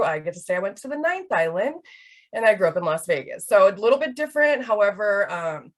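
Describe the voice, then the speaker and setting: A woman in her thirties from Hawaii, presenting a webinar in a casual, chatty way with many fillers. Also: medium pitch. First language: Hawaiian Pidgin. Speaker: research project manager.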